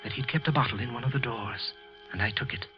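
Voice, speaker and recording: A man's voice: medium pitch, natural voice. Muffled old-radio actor as a brooding male killer. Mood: shaken, nervous. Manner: deliberate, brooding, intense. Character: guilty office worker confessing murder, coldly deliberate yet nervous. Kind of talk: old-time radio thriller acting, first-person narration. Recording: muffled vintage radio recording with music.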